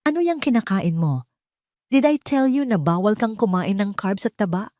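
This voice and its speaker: A woman voicing a cruel, irritable rich woman in an audio drama, shrill and scornful as she berates in mixed Tagalog and English. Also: lively intonation, moderate pace, no echo, studio quality, natural voice. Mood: disgusted scolding. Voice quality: shrill, sharp.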